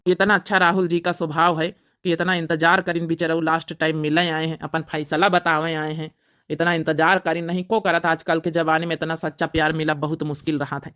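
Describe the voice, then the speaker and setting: A man's voice: a little high, level tone, moderate pace, bright, forceful tone, clear, resonant tone, no echo, clean studio sound. A man voicing an earnest, emotional, self-sacrificing brother in a homemade cartoon family drama, with an Awadhi accent. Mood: relieved, tender.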